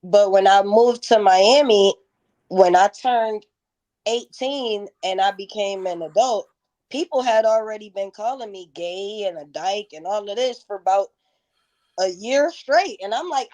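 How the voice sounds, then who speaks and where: A woman's voice: mid-range voice, animated, a little slow, a little mellow. A woman in her thirties telling stories candidly in a casual interview, with slangy, profane, emphatic phrasing. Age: 30s. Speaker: interview guest, music performer.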